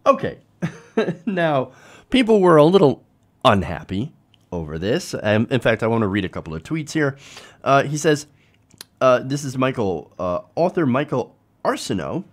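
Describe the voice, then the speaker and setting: A man's voice: medium pitch, big pitch swings, normal speed, long pauses. A man hosting a political commentary show, talking informally to viewers in a conversational way with rhetorical tag questions.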